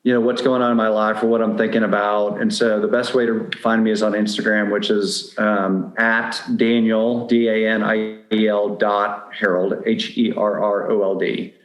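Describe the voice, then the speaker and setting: Lowish-voiced man in an echoey room. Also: flat delivery, moderate pace, few pauses. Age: middle-aged. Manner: conversational, explanatory. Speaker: podcast guest, dating advice blogger. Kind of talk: casual interview chat. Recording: roomy, echoing sound.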